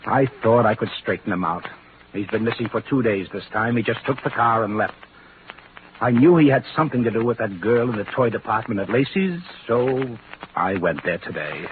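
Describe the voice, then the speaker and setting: An actor playing a haughty, evasive doctor in 1940s radio drama style, formal and guarded. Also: male voice, on the low side, expressive, moderate pace, lightly acted. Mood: resigned, uneasy. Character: haughty, evasive male doctor under questioning. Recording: tinny, hissy old radio broadcast.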